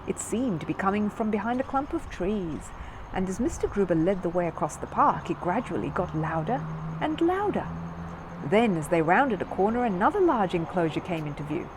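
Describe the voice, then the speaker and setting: A woman's voice: mid-range voice, big pitch swings, normal speed, noisy background. A woman narrating a children's story from a script as a storyteller.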